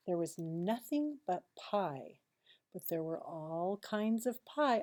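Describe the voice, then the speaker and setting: A woman reads a children's picture book aloud like a teacher, expressively, with dramatic pauses and hushed asides. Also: on the low side.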